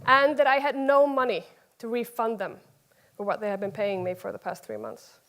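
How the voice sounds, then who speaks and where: Female conference speaker, thirties, Icelandic accent, high voice, informal and slightly hesitant storytelling delivery. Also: steady tone, normal speed, fairly bright tone.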